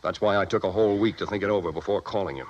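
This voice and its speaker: An actor playing a worried, earnest American insurance executive in a 1950s radio drama, urgent and insistent. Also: male voice, fairly low pitch, rapid-fire, a little mellow, highs cut off, close to an everyday voice. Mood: anxious, pleading. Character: anxious, earnest male insurance company executive. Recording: vintage radio drama broadcast.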